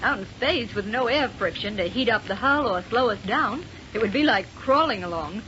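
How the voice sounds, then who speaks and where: An actress playing an alert, capable young co-pilot, spirited and matter-of-fact, in 1930s radio acting style. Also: female voice, a little high, animated, normal speed, sharp tone. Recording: muffled, hissy 1930s radio recording.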